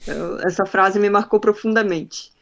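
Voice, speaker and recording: A young adult woman from northern Brazil whose accent has faded but still shows faintly. Her voice sits at a middle pitch, and she talks frankly as a guest in a casual podcast interview. She brings her stories to life by acting out what each person said. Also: moderate pace, crowd noise. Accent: faint northern Brazilian Portuguese. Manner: frank, animated storyteller acting out quoted dialogue. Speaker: podcast guest, marketing professional.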